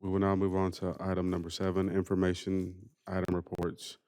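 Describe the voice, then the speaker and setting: A man chairing a school board meeting, running through the agenda with a formal, steady roll-call cadence. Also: sits low, a little fast, clean tone.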